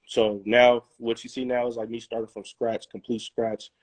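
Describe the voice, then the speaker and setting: A young man, a musician guest in a casual interview, telling his story in a halting way full of restarts and fillers. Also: medium pitch, little pitch movement, no echo, low-quality recording.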